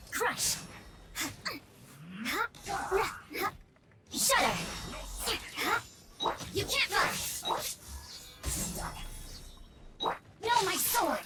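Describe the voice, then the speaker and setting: A woman's voice: very high voice, noisy background, full character voice. A woman voicing a proud, vengeful young female knight in a video game, with haughty, theatrical, formal delivery. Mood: fierce, commanding.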